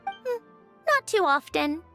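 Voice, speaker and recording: A woman voicing a calm, polite young female researcher and adventurer in a video game, with a thoughtful, matter-of-fact manner. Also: extremely high pitch, slow, soft background music, full character voice. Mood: courteous.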